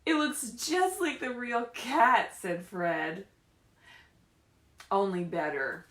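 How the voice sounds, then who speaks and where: A woman reading and chatting to young children as a story time leader, animated and often prompting them with questions. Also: medium pitch, slow, reverberant. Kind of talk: read-aloud picture book storytelling for young children. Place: indoors at home.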